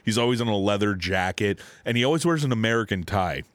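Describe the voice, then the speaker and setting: A man in his late thirties with a lowish voice that swings widely in pitch as he riffs, swearing freely. He laughs easily and keeps the chat loose and crude. The sound is close and dry, with no room around it. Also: quick pace, no room sound. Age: 30s. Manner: profane, animated, laughs often. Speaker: podcast co-host. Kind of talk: casual crude banter.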